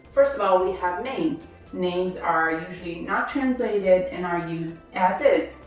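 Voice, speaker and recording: Woman teaching slowly in an echoey room. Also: fairly low pitch, far from the mic. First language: Hebrew. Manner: clear, deliberate enunciation of example words. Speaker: Hebrew teacher. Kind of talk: scripted online language lesson. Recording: very echoey room, voice set back from the mic, faint background music.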